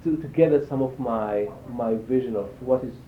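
An older man from Israel giving reflective interview answers, haltingly, repeating phrases as he searches for words. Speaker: interviewee, cello teacher and performer. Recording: echoey, tinny band-limited sound with faint rumble.

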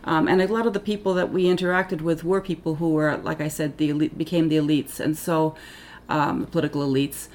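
A middle-aged woman who grew up in Tanzania, with Finnish as her first language, recalls her childhood in a thoughtful, halting way, full of ums and self-corrections. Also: sits low, steady tone, a little mellow, a little hiss or hum. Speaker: interviewee, Africanist scholar. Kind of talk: reflective oral history interview.

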